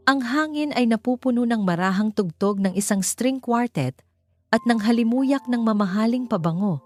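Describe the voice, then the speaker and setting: A woman with a Filipino accent narrates a scripted romance story in a dramatic, measured way. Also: medium pitch, darkish tone. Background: background music.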